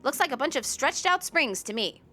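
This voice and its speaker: Lively, high-voiced young woman. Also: moderate pace. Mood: exasperated. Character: young woman rink worker, squeamish true-crime fan, playful friend. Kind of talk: audio drama comedy acting.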